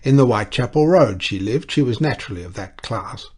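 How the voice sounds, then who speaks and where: A man voicing an excitable, muddled elderly clergyman who rambles and declaims loudly. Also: medium pitch, fairly quick, breathy edge. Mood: rambling. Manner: loud, declaiming, ranting.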